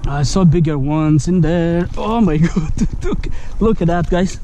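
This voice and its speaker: Man, high voice, slow unhurried talk, non-native English, outdoor canal bank, action-camera mic, faint ambience. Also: slight background noise. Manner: talks to viewers, calm, then bursts of excited exclamations. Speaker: angler filming his fishing trip. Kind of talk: casual outdoor fishing vlog. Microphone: head-mounted action camera.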